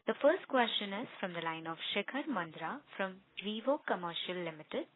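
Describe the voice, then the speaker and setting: A woman's voice: mid-range voice, lively intonation, moderate pace, no room sound. A woman formally moderating a conference call as operator, reading set instructions over a phone line. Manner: formal, procedural. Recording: narrow tinny phone line.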